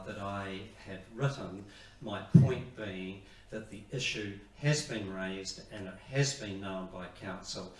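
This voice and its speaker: A man with a low, flat voice addresses a public meeting formally. His delivery is measured and halting, and he sounds distant in a very echoey room. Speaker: speaker at community meeting. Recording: echoey meeting hall, distant mic, faint noise.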